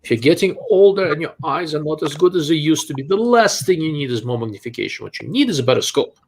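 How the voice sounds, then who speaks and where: A man's voice: a little high, expressive, quick pace, gravelly, darkish tone. A man with a Russian accent hosts a live Q&A, answering viewer questions in a blunt, dryly sarcastic way. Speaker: host. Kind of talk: live-stream chat and Q&A.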